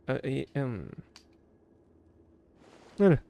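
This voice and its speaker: A man from Indonesia giving casual, relaxed gameplay commentary and reading game text aloud with murmured asides. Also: medium pitch, drawn-out words, vocal fry, clean tone. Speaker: gaming video host.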